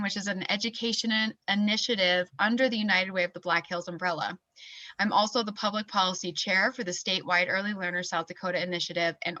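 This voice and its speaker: Bright-voiced woman introducing a speaker. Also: medium pitch, no echo, highs cut off. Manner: reads a speaker bio with small slips and fillers. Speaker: event organizer and moderator. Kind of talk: introducing a speaker at an online forum.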